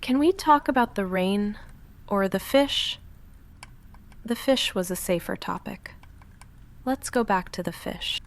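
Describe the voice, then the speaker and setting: An awkward young goth vampire girl, played by a woman in an intimate ASMR roleplay. She murmurs slowly with heavy vocal fry, often dropping to a breathy whisper. Her delivery is deadpan and halting, self-conscious but secretly affectionate. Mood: reluctant. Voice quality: soft, breathy whispering mixed with low murmured talk.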